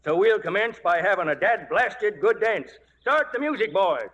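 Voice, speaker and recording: High-pitched, folksy frontier orator. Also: male voice, expressive, moderate pace. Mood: proud, jovial. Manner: homespun oratory, rustic grammar. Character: folksy, excitable frontier town elder. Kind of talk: 1940s radio acting. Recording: dull old radio recording, music.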